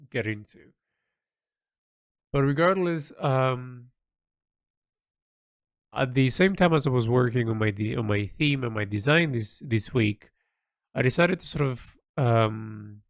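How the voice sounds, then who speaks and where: A man recording an unscripted, reflective audio log, speaking in a halting, rambling way as he thinks aloud and repeats himself. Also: medium pitch, gravelly, no echo, clean studio sound. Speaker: audio log diarist, creative hobbyist.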